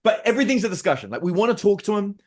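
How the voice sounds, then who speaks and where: A man's voice: high voice, expressive, very fast, darkish tone. A middle-aged man telling family stories in casual conversation, animatedly acting out his own lines and his children's. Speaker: podcast guest.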